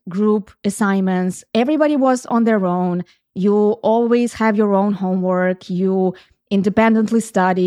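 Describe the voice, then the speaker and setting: A woman's voice: medium pitch, a little mellow, no room sound, clean studio sound. A middle-aged woman from Ukraine, hosting a reflective solo podcast in an earnest, explanatory way. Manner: earnest, explanatory, thinks aloud with restarts. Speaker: podcast host.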